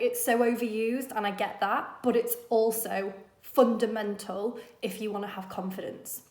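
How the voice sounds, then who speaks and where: A woman confidence coach talking to camera in a casual, encouraging way, her voice husky from a cold. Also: fairly high pitch, reverberant. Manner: chatty and encouraging, addresses viewers warmly as "my loves". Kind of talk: casual direct-to-camera advice.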